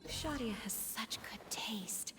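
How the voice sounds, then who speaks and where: An actress playing a contented townswoman in a video game, musing in an unhurried way. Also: fairly high pitch, in a whisper. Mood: dreamy approval. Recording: very loud game music behind voice.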